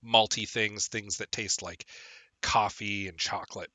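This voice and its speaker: A man's voice: lowish voice, fairly even intonation, a little slow, sharp tone, dry recording. A young man chatting casually while streaming a game, rambling with lots of ums, a bit phlegmy from a lingering cold. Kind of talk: casual gameplay stream chat.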